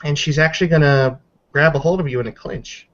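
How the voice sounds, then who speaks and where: A man's voice: a little high, brisk. A man acting as game master, narrating a tabletop roleplaying game casually and swearing freely. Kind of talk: casual live game narration with dice counting. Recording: dull lo-fi voice chat audio.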